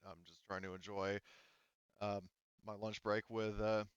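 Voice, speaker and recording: A man's voice: lowish voice, flat delivery, a little slow, clear, resonant tone, no echo, close to an everyday voice. A man voicing a curt, irritated female security deputy in a tabletop game, blunt and dismissive, on slightly dull audio.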